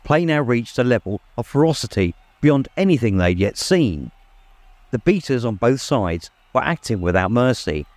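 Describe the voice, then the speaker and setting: A man's voice: mid-range voice, a little mellow, no room sound. A man narrating a story in a dramatic, scripted audiobook style.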